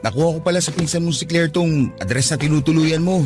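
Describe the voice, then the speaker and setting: A man's voice: on the high side, fast, darkish tone, faint music behind, lightly acted. An actor playing a devoted young man in a Tagalog radio drama, who earnestly sweet-talks his girlfriend.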